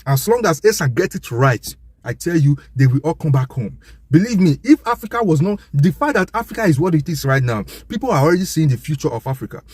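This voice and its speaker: A man's voice: on the high side, big pitch swings, fast talker, darkish tone, breathy edge, no echo. A man with a Nigerian accent giving an impassioned, informal monologue, assertive and emphatic, often repeating his points.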